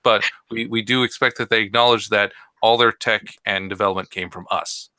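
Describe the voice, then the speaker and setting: A man with a lowish, very bright voice, talking loosely with lots of ums and likes. The sound is dry and close. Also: steady tone, brisk, clear, resonant tone, no room sound. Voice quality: trained voice, speaks from the throat. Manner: rambling, hesitant, many fillers. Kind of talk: casual chat.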